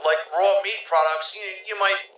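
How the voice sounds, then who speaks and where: A man's voice: sits high, steady tone, normal speed, fairly bright tone, slight background noise. A man playing an awkward, polite plumber in a comic audio play, hesitant and stammering. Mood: affable, matter-of-fact. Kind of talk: comedic audio play acting.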